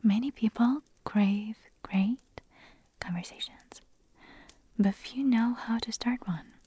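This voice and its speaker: Raspy whispering woman, slow and husky. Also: on the high side, warm tone. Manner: giggly and self-deprecating, chatty asides. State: hoarse, nearly losing her voice. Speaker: ASMR creator. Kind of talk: whispered ASMR audio.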